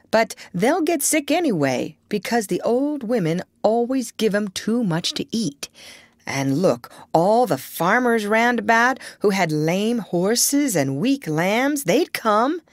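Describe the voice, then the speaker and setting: A woman's voice: medium pitch, no echo, clean studio sound. A woman voicing a chatty, unschooled working-class man who peddles meat in a children's storybook, folksy, persuasive and scheming. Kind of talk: children's storybook character reading.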